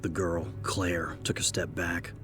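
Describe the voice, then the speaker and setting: A man narrating dramatic horror fiction in a grave, measured manner. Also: low-pitched, level tone. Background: prominent background music.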